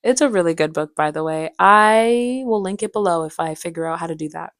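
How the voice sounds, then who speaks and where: A young woman telling personal stories in a casual vlog style, with an animated, slangy, playfully dramatic way of talking. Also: fairly low pitch, a little fast, no room sound, clean studio sound. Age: young adult. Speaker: vlogger and aspiring actress. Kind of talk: casual chatty vlog storytelling.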